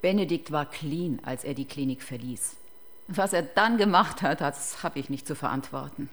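An actress playing a cold, defiant clinic doctor under interrogation in a German radio crime drama, formal and indignant. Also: female voice, sits low, normal speed, a bit bright. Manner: formal, clipped, indignant, evasive.

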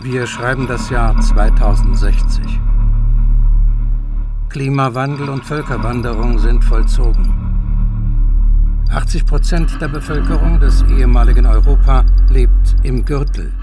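A man's voice: mid-range voice, a little slow, loud music behind the voice, natural voice. A man playing a sober narrator, reading scripted narration about a dystopian future in an audio drama.

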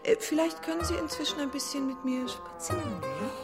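Over music, a coquettish queen chatters in a high, lively voice. She is scatterbrained and hesitantly flirtatious, in radio drama style. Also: brisk. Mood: coy, giddy. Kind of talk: German radio play acting.

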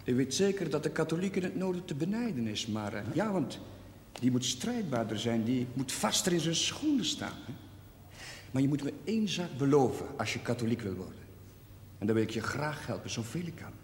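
Actor as an earnest priest in an echoing hall. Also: male voice, medium pitch, big pitch swings, fairly quick, close to an everyday voice. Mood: solemn, sincere. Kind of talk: 1970s television drama acting. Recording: echoey hall, faint noise, TV soundtrack.

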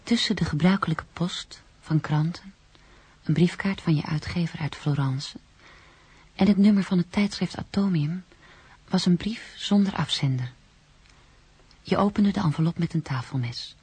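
A woman playing a hushed, watchful storyteller in a radio drama, narrating with a breathy, half-whispered voice. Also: fairly low pitch, normal speed. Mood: hushed, measured. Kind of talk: scripted second-person radio drama narration.

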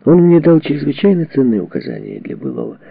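A man reading a memoir as a scripted audiobook narration, with measured, dramatic phrasing and expressive delivery. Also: on the high side, vocal fry, breathy edge. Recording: dull, muffled lo-fi audio.

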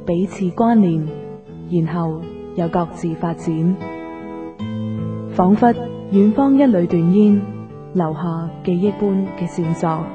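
A woman's voice: on the low side, slow, pauses often, narrow, tinny audio. A woman with a Hong Kong Cantonese accent reading a scripted, lyrical radio drama introduction as announcer. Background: guitar music.

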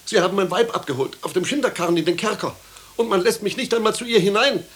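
A high-voiced, distraught old man. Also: fast, a bit bright. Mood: desperate. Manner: agitated, stammering, pleading. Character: distraught, pleading old husband of a jailed wife. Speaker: radio drama actor. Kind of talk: 1950s German radio play acting.

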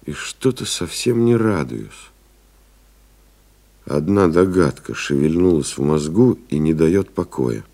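Deep, slow-spoken weary pilot. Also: male voice, expressive, warm tone, exaggerated voice. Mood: weary, grave. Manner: grave, deliberate, brooding, with pauses. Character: weary, stoic male pilot stranded in the desert. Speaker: actor narrating in first person. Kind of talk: dramatic literary audio play narration. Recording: music behind the voice.

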